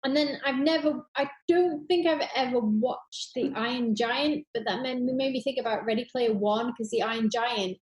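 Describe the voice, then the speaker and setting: A woman's voice: on the high side, normal speed, few pauses. A woman chatting casually on a panel, rambling and gushing with enthusiasm. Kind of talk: casual chat. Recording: roomy, echoey sound.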